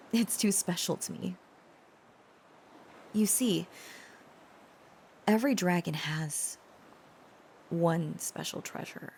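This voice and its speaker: A woman acting as a tender, protective dragon girl in a romantic audio roleplay speaks very slowly and haltingly. Her voice is on the low side with a creaky edge, often softening toward a whisper. There is faint noise behind her. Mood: earnest, sheepish. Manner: hesitant, halting, earnest, often dropping to breathy whispers. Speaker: voice actor.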